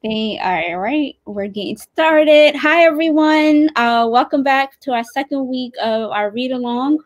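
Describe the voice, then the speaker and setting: A woman with a fairly high voice hosts a livestream in a casual, chatty style. She is on a video call, with little room sound around her. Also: unhurried, no echo. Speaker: host, campaign director. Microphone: video call mic.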